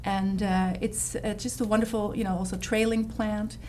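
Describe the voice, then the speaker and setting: A woman's voice: medium pitch, level tone, normal speed, slight background noise. A woman from the Netherlands, a landscape designer, talking in a casual interview with lots of fillers and restarts. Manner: warm, enthusiastic, many fillers and restarts.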